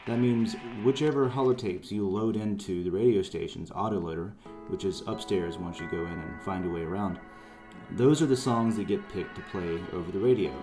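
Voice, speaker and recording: A man presenting his own project in casual, conversational promotional narration. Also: on the low side. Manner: informal, conversational.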